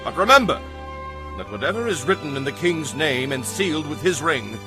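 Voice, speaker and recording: An actor playing an imperious, quick-tempered king in a dramatized scripture audio drama, declaiming with command. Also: a little high, sing-song, music in the background. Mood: regal, commanding.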